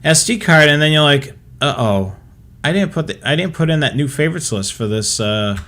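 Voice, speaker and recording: A man's voice: fairly high pitch, expressive, fairly quick, a bit bright. A man co-hosting a hobby show, chatting casually and talking listeners through examples and advice.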